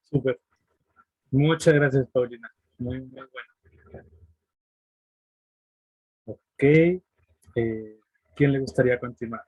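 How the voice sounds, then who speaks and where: A man's voice: mid-range voice, slow, long pauses, darkish tone, slightly rough, no room sound, lo-fi sound. A man moderating a group video call, giving brief, friendly prompts and thanks. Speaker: group facilitator.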